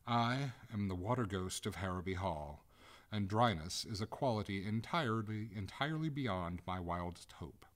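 A man reading a story aloud, voicing the prim, reproving ghost of a drowned maiden, in a voice close to his own low narration. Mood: lofty. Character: haughty drowned maiden ghost, centuries old.

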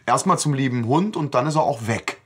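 A man's voice: mid-range voice, animated, fairly quick, husky. A man co-hosting a podcast, chatting casually and colloquially as he retells and discusses a story. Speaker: podcast co-host. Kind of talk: casual discussion of an audio drama.

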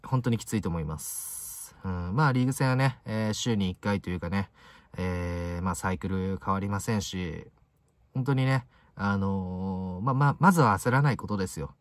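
A man in his twenties giving casual match commentary to camera in short, halting phrases full of fillers, recorded inside a parked car. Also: low-pitched, no echo. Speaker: football fan video host.

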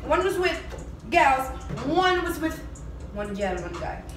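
Loud young woman, echoey room. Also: sits high, big pitch swings, measured pace. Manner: loud, laughing, freestyle rapping. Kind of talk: casual party game chat. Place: small apartment dining room. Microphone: far camera. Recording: echoey room from far off, music behind.

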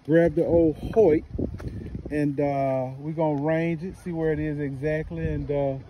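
A middle-aged man talks his way through each shot in a relaxed, chatty manner, his voice sitting slightly high. He is outdoors on a wooded range, caught by a phone camera a few feet off. Faint open-air ambience sits behind him. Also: measured pace, lo-fi sound, background noise. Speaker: father shooting archery. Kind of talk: casual outdoor vlog talk.

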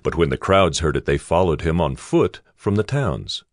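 A man's voice: a bass voice, big pitch swings, moderate pace, no room sound, studio quality. A man narrating scripture from a script as part of a dramatized reading. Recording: music bed behind voice.

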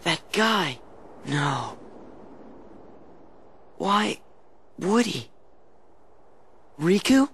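A man's voice: high-pitched, sing-song, drawn-out words, long pauses, fairly bright tone, slight background noise, full character voice. An actor playing a plucky, impulsive teenage boy hero with an American accent in a video game cutscene. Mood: puzzled. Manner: eager, boyish.

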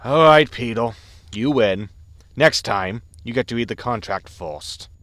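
A man's voice: mid-range voice, animated, a little slow, fairly bright tone, dry recording. A man playing a wry, exasperated spaceship captain in a sci-fi comedy audio drama, sarcastic and grumbling as he gives orders. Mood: wry, commanding.

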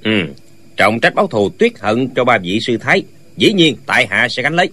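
A man playing a young swordsman and new sect leader in a martial arts audiobook, wry and dutiful, speaking in a measured, courteous way. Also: medium pitch, sing-song, sharp tone. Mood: resolute, reassuring.

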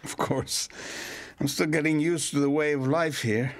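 An actor playing a courteous, guarded landlord in a radio soap, formal and polite. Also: male voice, a little high, fairly even intonation, normal speed, lightly acted. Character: courteous, guarded landlord and painter, faintly secretive.

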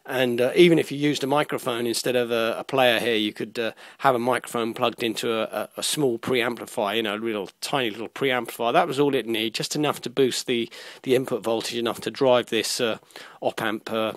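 A middle-aged man giving an informal hands-on tutorial in a halting, explanatory way, often correcting himself. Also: mid-range voice, fairly even intonation, a bit bright, no room sound. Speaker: electronics hobbyist demonstrator. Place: indoors at a workbench. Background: music playing from a small speaker at times.

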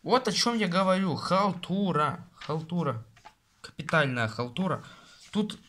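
A man's voice: on the high side, animated, measured pace, fairly bright tone. A man casually reviewing a car magazine at home, rambling, grumbling and talking to his viewers. He records himself on a phone held close over his desk.

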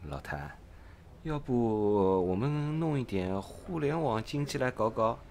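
A man's voice: medium pitch, big pitch swings, measured pace, a little mellow, a little hiss or hum. A man playing an eager, impatient purchasing agent in a parody radio drama, exclamatory and theatrical as he chums up to his boss. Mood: eager, questioning.

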